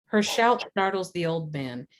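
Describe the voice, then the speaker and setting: A woman's voice: lowish voice, expressive, unhurried. A woman reading scripted stage directions as the narrator of a table read.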